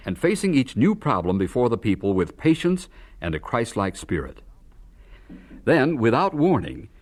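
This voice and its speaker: A man delivering scripted, dramatic narration for an audio drama. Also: lowish voice.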